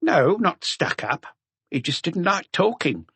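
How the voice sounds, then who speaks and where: Man playing frank thin workman, raised pitch. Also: sing-song, a little slow, a bit bright, slightly rough, no echo, heavily acted. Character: thin male artisan, plain-spoken bar regular.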